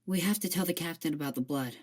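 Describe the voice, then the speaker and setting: A woman's voice: sits low, fast, darkish tone. A woman playing a nervous young female security officer in an amateur audio drama, blunt and anxious. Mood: uneasy.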